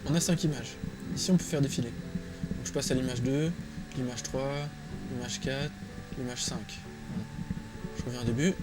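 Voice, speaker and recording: A man talks casually through a screen-recorded tutorial. His pitch is middling and he often mutters to himself in slangy, informal phrases. Loud music plays behind his voice. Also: steady tone, moderate pace, long pauses, poor audio. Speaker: drawing tutorial presenter. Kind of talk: casual screen-recorded tutorial, thinking aloud while drawing. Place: small room at home, indoors.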